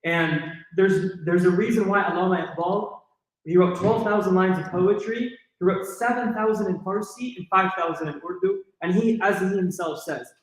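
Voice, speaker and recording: A man's voice: high-pitched, normal speed. A man answering an audience question at a lecture, earnest and enthusiastic, thinking aloud with fillers. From California, USA. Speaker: panelist. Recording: very echoey hall.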